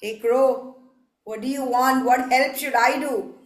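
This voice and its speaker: A haughty storybook king, acted out by a woman, stern and dismissive. The monarch scolds at an unhurried pace, and the room echoes around the voice. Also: high voice, fairly even intonation.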